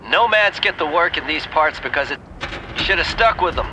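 A man's voice: on the high side, animated, fairly quick. An actor playing a wary, reluctant male contact talking over a handheld radio in a video game. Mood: reproachful. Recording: noisy two-way radio transmission.

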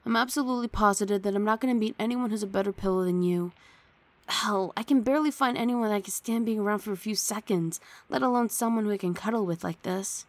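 A woman's voice: medium pitch, creaky, no room sound, natural voice. A woman playing a grumpy but affectionate young female roommate in an intimate ASMR roleplay, sardonic and huffy, speaking close to the mic. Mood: cozy, affectionate. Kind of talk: ASMR roleplay, intimate one-sided dialogue. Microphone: close studio mic, dry.

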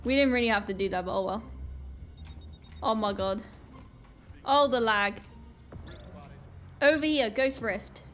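Young Northern Irish woman gamer, sick, flustered and exclaiming, unhurried delivery, a touch high. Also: fairly bright tone. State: sick, voice a bit off. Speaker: gaming YouTuber. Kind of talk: casual let's-play commentary.

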